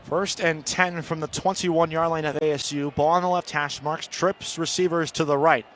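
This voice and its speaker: A man with a fairly high voice and an American accent delivers live football play-by-play as a radio commentator. Some stadium noise sits behind him. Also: steady tone, normal speed, fairly bright tone. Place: football stadium broadcast position. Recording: outdoor stadium background noise.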